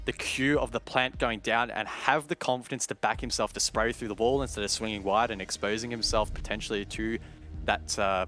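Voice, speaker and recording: A man's voice: medium pitch, sharp tone. A man giving live esports colour commentary, breaking down tactics and odds and lifting sharply on big plays. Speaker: esports analyst caster.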